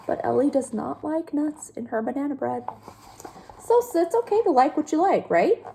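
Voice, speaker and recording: A woman teacher reading a picture book aloud, pausing for simple explanations and questions to young listeners. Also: sits high, big pitch swings, gravelly, warm tone, rough recording.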